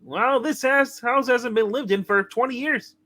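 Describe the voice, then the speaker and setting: A man's voice: very high voice, moderate pace, pushed and bright. Man playing a tipsy, puzzled cabbie. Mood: wary. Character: tipsy, puzzled, gruff male cab driver.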